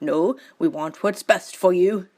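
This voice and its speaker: A woman's voice: on the low side, a little slow, mellow. A woman voicing a stern young male fish, the heroine's cousin, speaking firmly and scolding. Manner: firm and scolding. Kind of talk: storybook character voice.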